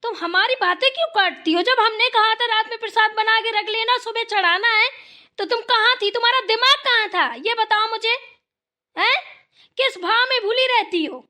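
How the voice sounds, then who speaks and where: A very high, piercing cartoon mother-in-law from a village household, played by a woman. She scolds sharply and sarcastically, short-tempered and full of insults. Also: normal speed, sharp tone. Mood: angry scolding. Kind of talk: Hindi family cartoon dialogue.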